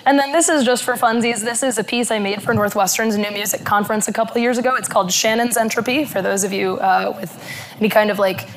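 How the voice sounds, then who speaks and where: Hoarse female speaker, 30s, halting conference talk at a podium mic, faint room hum. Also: medium pitch. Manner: halting, with fillers. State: hoarse from laryngitis.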